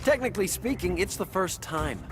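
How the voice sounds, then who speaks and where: A joking, easygoing hero. His voice is a little high and animated, glib in video game banter, with loud music behind. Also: brisk.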